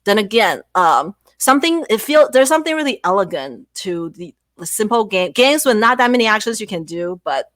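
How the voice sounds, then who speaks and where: A woman whose first language is not English, a guest content creator chatting casually and lively on a livestream. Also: mid-range voice, brisk, hardly any pauses, no room sound. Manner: lively, laughing, self-deprecating.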